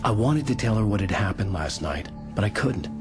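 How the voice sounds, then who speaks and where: A male actor plays a weary, haunted writer in a video game drama. His voice sits low and his delivery is terse and understated. Music plays clearly behind him. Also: animated, fairly quick, natural voice. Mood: brooding. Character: troubled male writer, brooding and weary, narrating his nightmare. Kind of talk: dramatic game acting with first-person scripted narration.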